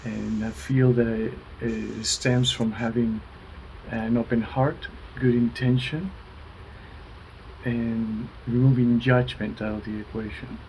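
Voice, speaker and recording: A man explaining his work as an interview guest, thoughtfully and haltingly, a few words at a time. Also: medium pitch, little pitch movement, gravelly, darkish tone, a little hiss or hum, rough recording. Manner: halting, thoughtful, word-by-word phrasing. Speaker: guest, alternative wellness practitioner.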